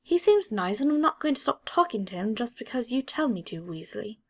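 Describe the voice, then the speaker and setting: Unflappable clever schoolboy read by a woman, fairly high voice, aloof and dryly matter-of-fact, for an audiobook. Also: big pitch swings, a little fast. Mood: firm, defiant. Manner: cool, dry, matter-of-fact.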